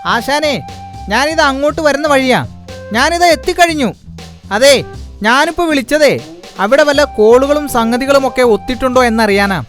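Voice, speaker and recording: A man voicing a sly, fawning animal sidekick in a cartoon, with a wheedling, flattering manner. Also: very high-pitched, moderate pace, soft background music. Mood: eager. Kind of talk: cartoon voice acting.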